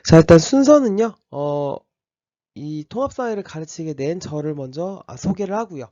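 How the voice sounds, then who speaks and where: A male teacher with a slight cold talks a class through the course outline, stopping often for fillers and restarts. His pitch sits a little high and moves around a lot, and the tone is mellow. The recording is dry and a bit dull. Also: expressive, normal speed, dry recording. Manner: explains step by step, with many fillers and restarts. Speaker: high school history teacher. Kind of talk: recorded class orientation lecture.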